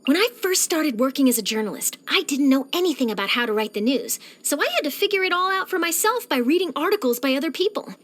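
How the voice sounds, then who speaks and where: A woman voicing an eager, nosy young female journalist in a video game, chatty, theatrical and expressive. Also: sits high, fast talker, hardly any pauses. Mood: earnest, informative. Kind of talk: animated game character voice lines.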